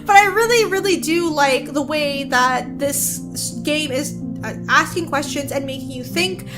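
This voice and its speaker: A woman streaming a video game, chatty and expressive, switching between reading aloud and casual commentary. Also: high voice, unhurried. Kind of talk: casual playthrough commentary and read-aloud narration. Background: game music.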